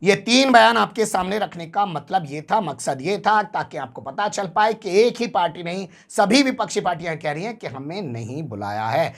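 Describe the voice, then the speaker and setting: A man's voice: high-pitched, expressive, moderate pace, few pauses, a bit bright. Sarcastic male news commentator. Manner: sarcastic, rhetorical questions to the viewer. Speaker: news channel presenter.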